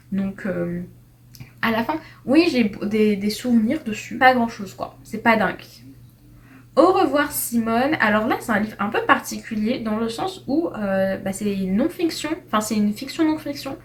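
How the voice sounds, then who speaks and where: A woman's voice: on the high side, a little hiss or hum. Chatty young woman reviewing books. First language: French. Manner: chatty, animated, with slang and frequent restarts. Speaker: book reviewer. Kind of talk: casual tier-list book review.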